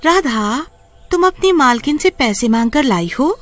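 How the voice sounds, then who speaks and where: Woman acting a high, concerned younger sister. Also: animated, unhurried, fairly bright tone, faint music behind.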